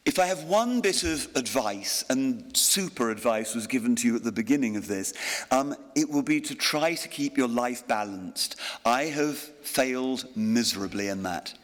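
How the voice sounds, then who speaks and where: An older man gives a ceremonial address at a graduation. His tone is self-deprecating, with pauses and ums, and his voice sits at an ordinary pitch for a man. The podium mic carries a long reverb from the big hall. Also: lively intonation. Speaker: honorary graduate giving a speech.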